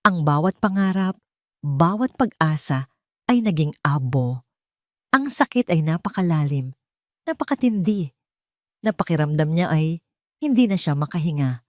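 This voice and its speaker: A woman's voice: deep voice, animated, measured pace, pauses often, darkish tone, dry recording, clean studio sound. A woman narrator reading a scripted dramatic love story.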